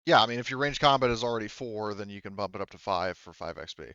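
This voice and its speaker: A man with a smooth, fairly low voice talks players through a tabletop game as game master. He is informal and conversational. The sound is dry and a little dull. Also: fast talker, pushed and bright, dry recording. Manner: informal, swears casually. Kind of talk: casual explaining of tabletop game rules.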